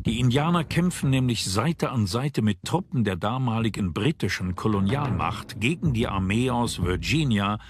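A man reading scripted documentary narration in a measured, dramatic storytelling manner. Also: lowish voice. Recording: music bed behind voice. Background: background music.

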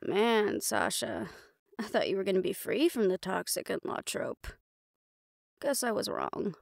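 Casting: sardonic, stubborn huntress played by a woman, lively creaky voice, audio drama. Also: mid-range voice, a little slow, no room sound, studio quality. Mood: amused. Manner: wry, defiant, casual. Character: stubborn, sardonic, tough female supernatural hunter. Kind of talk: audio roleplay acting.

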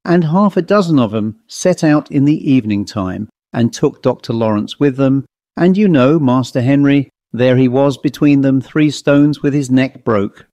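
A man's voice: medium pitch, moderate pace, warm tone, no room sound, close to an everyday voice. A man voicing an old country servant who speaks in broad, ungrammatical rural dialect. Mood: storytelling. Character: old rustic male servant telling a grim tale.

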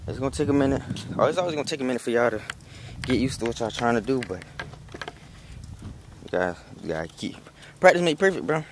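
A man's voice: mid-range voice, lively intonation, fast talker, long pauses, background noise. A young man, talking casually to his phone camera outdoors in a rambling, slangy, encouraging way. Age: young adult. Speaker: vlogger. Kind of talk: casual vlog talk to camera.

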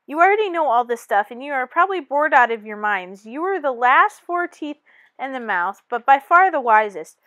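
A brisk storybook teacher of a class of teeth, voiced by a woman reader. The voice is high, encouraging and instructive, with a dry, close sound. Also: sing-song, sharp tone, no echo, heavily acted.

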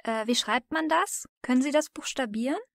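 A woman playing a brisk, efficient office receptionist in a scripted learner dialogue. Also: high voice, creaky, no echo, studio quality. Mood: businesslike.

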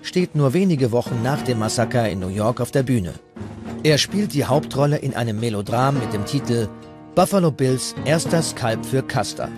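A man's voice: mid-range voice, expressive, moderate pace. Male documentary narrator over prominent music. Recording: music playing behind the voice.

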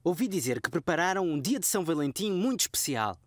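Chill patient cartoon dad, european portuguese, dry close sound. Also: male voice, fairly high pitch, very animated, quick pace, no echo. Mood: calm. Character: calm, patient young father. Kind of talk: cartoon dubbing.

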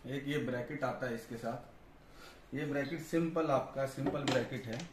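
A man's voice: medium pitch, moderate pace. Male reviewer, explanatory and informal, recorded in a reverberant room with background noise. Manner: explanatory, repeats "you see" and "I'll show you". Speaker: product reviewer and audio installer. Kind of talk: informal product demonstration to camera. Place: indoor tiled storeroom with boxes.

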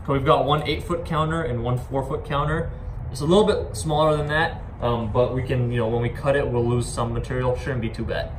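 A man's voice: medium pitch, fairly even intonation, a little fast. A man in his twenties talking casually to camera, explaining things informally. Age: 20s. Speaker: vlogger. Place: inside an empty box truck. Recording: echoey metal space, background noise.

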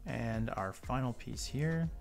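A man's voice: medium pitch, lively intonation, slow pace, creaky, faint music behind. A man with a stuffy nose from a cold, hosting a model-building tutorial and chatting casually with rambling asides as he works at a table. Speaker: model-building channel host. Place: indoor tabletop workbench.

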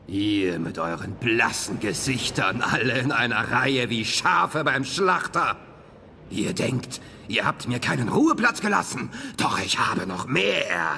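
An actor playing an ancient, commanding vampire count who taunts theatrically in a German audio drama. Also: male voice, mid-range voice, creaky, sharp tone, a little hiss or hum, exaggerated voice.

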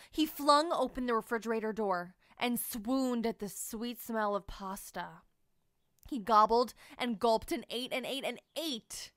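A woman reads a children's picture book aloud, her voice climbing and dipping with playful storytelling energy. Her tone sits a little high, and the sound is close and dry with no room around it. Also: no room sound. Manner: animated storytelling, sing-song. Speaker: read-aloud narrator.

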